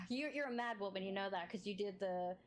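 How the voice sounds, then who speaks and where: Chatty woman host with a booming voice. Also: fairly quick. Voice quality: big booming voice. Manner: chatty, effusive, laughs often, reads chat aloud. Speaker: podcast host interviewing a streamer. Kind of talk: casual live-stream interview chat.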